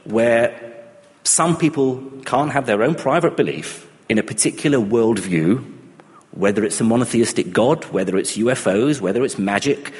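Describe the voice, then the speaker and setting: A man's voice: medium pitch, normal speed. Reflective Iraqi-born man in a reverberant hall. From Iraq. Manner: thoughtful, many restarts and fillers. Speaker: speaker on humanism and atheism. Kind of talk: reflective personal talk.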